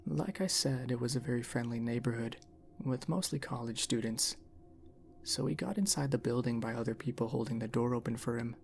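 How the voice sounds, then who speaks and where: A man narrating a story in an ASMR style, reading in a measured, unhurried way. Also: medium pitch, fairly even intonation, gravelly, a little hiss or hum.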